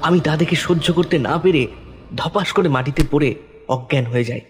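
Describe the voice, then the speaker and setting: A man's voice: on the high side, moderate pace, slightly rough. A man narrating a scripted first-person horror story in a dramatic style. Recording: loud music under voice.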